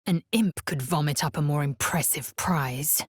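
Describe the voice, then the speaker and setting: An actress playing a fierce, proud young female alien warrior in a video game, with a husky, raspy voice and a clipped, haughty, formal manner. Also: sits low, measured pace, no echo, full character voice. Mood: scornful. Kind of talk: video game character voice acting.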